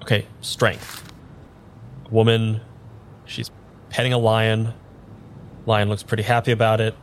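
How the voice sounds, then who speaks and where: Frantic amnesiac, stammering, sweary, slow halting delivery, audio drama, rumbly background noise. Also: male voice, little pitch movement. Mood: overwhelmed. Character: frantic amnesiac man reading tarot for himself, foul-mouthed.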